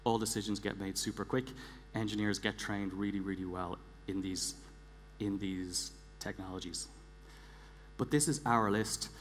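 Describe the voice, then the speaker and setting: A man in his forties giving a prepared conference talk on stage in an emphatic, deliberate manner, repeating words for weight. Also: fairly low pitch, steady tone, soft background music. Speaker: conference speaker, engineering director. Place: large theatre stage, indoors. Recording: echoey large hall, clear background hum.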